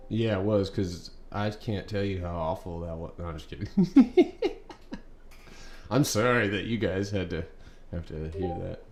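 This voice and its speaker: Low-voiced young man, casual trading chat. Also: animated, a little slow, darkish tone. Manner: casual, rambling, many ums and uhs. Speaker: stock trading streamer. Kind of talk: live market commentary. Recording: echoey room, faint background noise.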